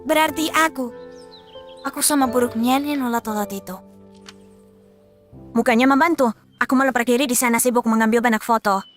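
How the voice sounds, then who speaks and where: A woman voicing a small, eager, earnest childlike character in a children's cartoon dub, with an expressive, exclamatory delivery. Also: a little high, fairly quick, long pauses, soft background music, lightly acted.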